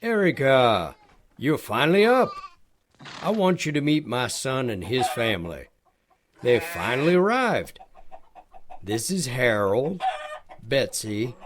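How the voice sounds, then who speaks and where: A man playing a kindly, burly older farmer in an audio drama, gently insistent in manner. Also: mid-range voice, slight background noise. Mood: welcoming. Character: kindly burly farmer, father of grown son.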